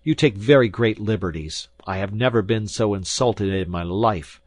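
A man's voice: fairly low pitch, very animated, slow pace, dry recording, studio quality, heavily acted. A man voicing an earnest, hot-tempered visitor in an audiobook, protesting emphatically but respectfully. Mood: angry, indignant. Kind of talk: audiobook character acting.

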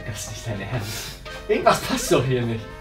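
Animated man in casual vlog chat. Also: mid-range voice, moderate pace, over music. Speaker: prank show co-host.

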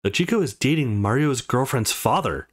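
A man's voice: medium pitch, animated, normal speed, close to an everyday voice. A man voicing a blunt, guarded young male college student in a visual novel. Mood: exasperated.

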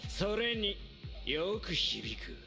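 A cocky young street thug in an anime, high-pitched and swinging wildly up and down as he sneers and gloats. The speech is rough and cruel, and loud music plays under the voice. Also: very animated, very slow, full character voice. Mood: menacing. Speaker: villain. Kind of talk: anime dub acting. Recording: voice over loud background music.

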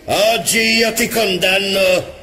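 An actor playing a bold, solemn armored gunslinger in a video game dub, speaking in a declamatory manner. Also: male voice, high voice, fairly even intonation, slow, noisy background. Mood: defiant.

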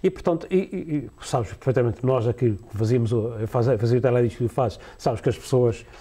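This is a man in his sixties with a European Portuguese accent and a warm, mellow tone of middling pitch. He talks slowly and haltingly in a studio interview, with many fillers, restarts and easy laughs. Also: husky. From Azores, Portugal. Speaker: musician guest. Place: TV studio set, seated in a leather chair.

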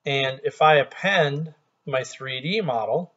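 A middle-aged man walks through a software tutorial from his desk. He talks into a headset mic in a relaxed, conversational tone at an ordinary pitch. The sound is a little dull and lo-fi. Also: slow pace, slightly rough. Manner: relaxed, conversational explaining with small restarts. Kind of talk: screen-recorded software tutorial.

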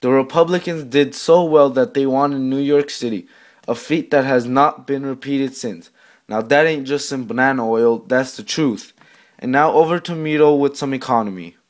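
A man's voice: mid-range voice, moderate pace. A man presenting a scripted radio news segment, reading aloud. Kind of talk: scripted narration, read aloud.

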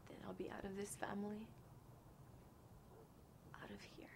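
A man's voice: monotone, normal speed, gravelly, noisy background. A man voicing a scheming wife who whispers to herself and fakes panic. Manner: hushed whispering, then shrill fake panic. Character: scheming wife faking distress.

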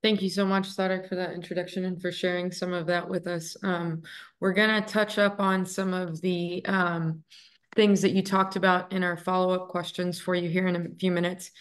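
A woman's voice: lowish voice, little pitch movement, moderate pace. A young woman in her twenties hosting a webinar on a video call, friendly, with many ums. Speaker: webinar host. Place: room indoors. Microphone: laptop webcam on a video call.

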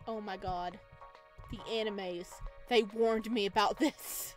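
A woman streaming a visual novel, reading aloud and reacting in a playful, dramatic, expressive way. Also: medium pitch, takes it slowly. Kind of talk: let's play, reading game narration aloud with reactions. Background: game music.

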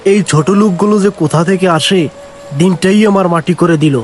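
A man's voice: sits high, brisk, a little hiss or hum, lightly acted. A man voicing a greedy, rude middle-aged village man who talks in a haughty, scornful way. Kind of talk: cartoon voice acting.

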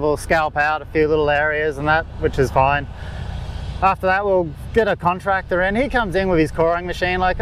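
A man, explaining golf green work in a relaxed way with many fillers, recorded outdoors on a course. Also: a little high, a little hiss or hum, rough recording. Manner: laid-back, lots of "like" fillers. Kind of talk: casual explanatory interview.

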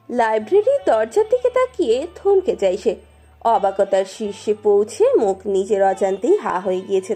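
A woman narrating a romance story expressively from a script. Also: medium pitch. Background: soft music.

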